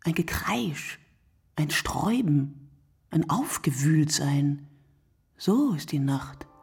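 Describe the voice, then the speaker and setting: A woman playing a reflective, poetic narrator in a literary radio play, speaking in a deliberate, declamatory way. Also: deep voice, animated, gravelly, breathy edge, exaggerated voice. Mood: quiet, musing. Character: reflective, poetic female narrator musing on sleep and night.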